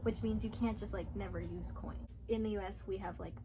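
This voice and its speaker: A woman's voice: mid-range voice, fairly even intonation, brisk, mellow. A young American woman, an exchange student, chatting casually with many fillers and little laughs. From USA. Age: young adult. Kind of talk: casual chat. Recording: noisy home recording.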